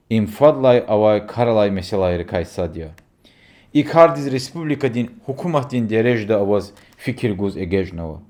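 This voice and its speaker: A man reads a scripted news voice-over in an even, measured way. His tone is mellow and dark. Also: mid-range voice. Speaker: news narrator. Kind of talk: scripted narration.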